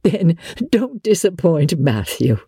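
A woman's voice: on the low side, very animated, slow pace, dark tone, breathy edge, no echo, clean studio sound, voice pushed up, full character voice. A woman voicing a stout, kindly society lady with a puffing, effusive manner, in a storybook reading. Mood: gushing, encouraging.

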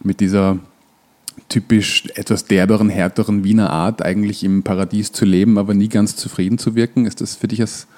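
A man hosting a casual podcast interview, phrasing his questions in a hesitant, unhurried way. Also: fairly low pitch, level tone, warm tone. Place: living room in a flat.

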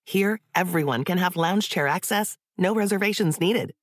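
Female commercial voice-over, slightly low pitch, scripted read, dry studio sound. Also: moderate pace, no echo. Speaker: advert voice-over.

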